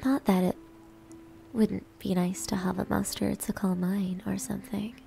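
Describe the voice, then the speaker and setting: A woman acts out a proud tsundere maid in an ASMR roleplay. Her medium-pitched voice is soft, breathy and creaky, often dropping to a whisper. She sounds coy, grudging and flustered. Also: flat delivery, normal speed.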